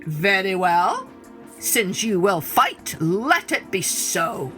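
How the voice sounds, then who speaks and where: A woman's voice: mid-range voice, sing-song, takes it slowly, a bit bright, faint music behind. A woman voicing a proud male lion, king of the forest, with scornful, haughty declarations in a storybook tale. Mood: mocking.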